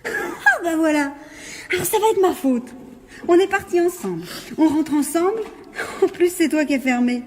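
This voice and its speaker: An actress playing a bossy, practical young woman in a radio mystery drama, teasing and sarcastic in a brisk, colloquial way. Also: extremely high pitch, sing-song, slight background noise. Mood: indignant.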